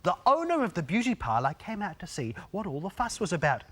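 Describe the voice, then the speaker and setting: A man reading a children's storybook aloud in a theatrical way, drawing out phrases and using dramatic pauses. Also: sits high, wildly swooping pitch. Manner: theatrical, with drawn-out phrases, gasps and dramatic hushes. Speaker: storybook reader.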